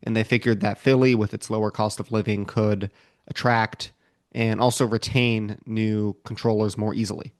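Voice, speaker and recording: An American man co-hosting a podcast, explaining things in a measured way from prepared notes. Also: on the low side, flat delivery, no echo, studio quality. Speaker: podcast co-host.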